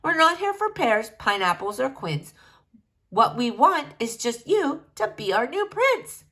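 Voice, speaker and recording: A woman's voice: high-pitched, big pitch swings, a little slow, sharp tone, heavily acted. A woman voicing an earnest, blunt talking potato villager in a storybook read-aloud. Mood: polite, insistent. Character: spud villagers, blunt earnest commoners seeking a prince.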